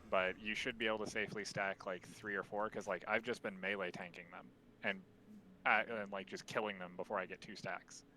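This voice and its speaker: Streamer leading a raid, deep voice held soft, bright edge, casual fillers. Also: male voice, flat delivery, fairly quick, a little hiss or hum, low-quality recording. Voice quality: deep voice, speaks quietly on purpose. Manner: explaining, loose with many fillers. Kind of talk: casual gaming voice chat.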